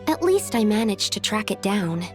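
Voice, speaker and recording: A woman's voice: medium pitch, sing-song, normal speed, over music, lightly acted. A woman voicing a meticulous young female alchemist in a video game, explaining things earnestly. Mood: earnest, relieved. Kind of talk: video game character dialogue.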